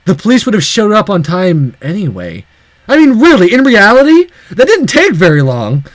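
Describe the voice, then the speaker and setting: A man's voice: high-pitched, wildly swooping pitch, moderate pace, dry recording. A man reading a visual novel aloud as dramatic narration, breaking off for sarcastic, profane casual asides.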